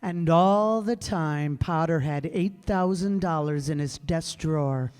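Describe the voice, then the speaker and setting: A man plays a grave, authoritative angel in a radio drama. He speaks slowly and evenly, a little high in pitch. The hall rings around him. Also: darkish tone, clean tone, lightly acted. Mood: calm, grave. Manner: measured, unhurried. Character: calm, authoritative senior angel. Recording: echoey hall, music behind.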